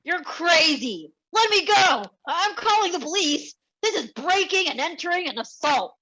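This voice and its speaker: A very high, bright voice from a woman plays a flustered man of sixty-five in a cartoon comedy. He protests indignantly, with pitch leaping about. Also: very animated, normal speed, full character voice, rough recording. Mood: indignant, panicked. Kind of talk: animated comedy script table read.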